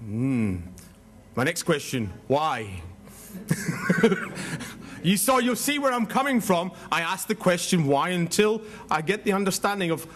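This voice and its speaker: A Scottish man giving a seminar talk, loud and jokey, putting questions to the audience. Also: fairly high pitch. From Scotland. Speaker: conference speaker. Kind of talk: seminar presentation.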